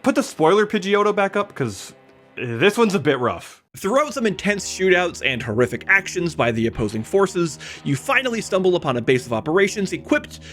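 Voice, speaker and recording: A man's voice: fairly high pitch, fairly bright tone. A man delivering a scripted video essay about games, with emphatic, opinionated, conversational phrasing and casual asides.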